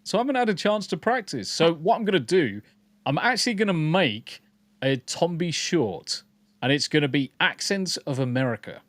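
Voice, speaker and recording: A man's voice: fairly high pitch, big pitch swings, moderate pace, dry recording. A man co-hosting a casual podcast livestream, joking and swearing freely while greeting viewers in chat. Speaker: podcast co-host.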